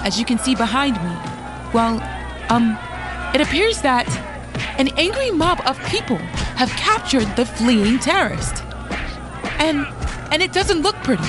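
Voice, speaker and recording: A woman playing a flustered, excitable television news reporter, halting and breathless as she reports live. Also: high-pitched, over music. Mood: flustered excited.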